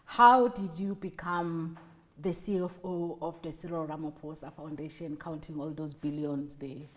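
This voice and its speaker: A woman's voice: deep voice, fairly even intonation, moderate pace. A woman with a South African accent hosting a casual interview, chatty and teasing, with frequent laughter. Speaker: talk show host.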